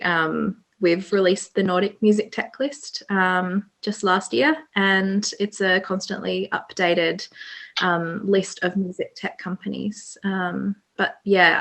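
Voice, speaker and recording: A woman's voice: lowish voice, creaky, fairly bright tone. A woman from Australia with an Australian accent, introducing herself on a panel with many "um" fillers. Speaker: panelist, journalist. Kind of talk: casual self-introduction.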